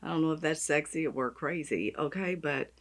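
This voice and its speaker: A woman with a raspy voice, chatting and gushing as she does her makeup on camera. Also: low voice, moderate pace. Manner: gushing, chatty, talks while applying makeup. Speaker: beauty vlogger. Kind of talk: makeup tutorial chat.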